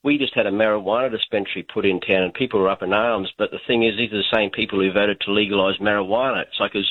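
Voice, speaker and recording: A laid-back Australian man with a Queensland accent calls in over a tinny phone line. His voice sits on the low side, and he chuckles as he talks and circles back to repeat himself. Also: fairly even intonation, quick pace, no echo. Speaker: caller. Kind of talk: phone-in chat.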